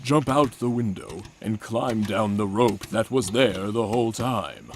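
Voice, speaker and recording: A man giving a deadpan, mock-solemn dramatic reading of a comic adventure story. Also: on the low side, unhurried, slight background noise. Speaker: narrator.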